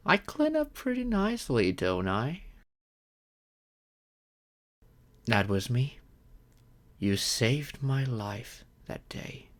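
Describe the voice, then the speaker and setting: A man playing a wealthy, grateful vampire in an ASMR audio roleplay, speaking in a gentle, courteous and measured way. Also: medium pitch, very animated. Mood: amused, warm. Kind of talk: slow intimate ASMR roleplay monologue.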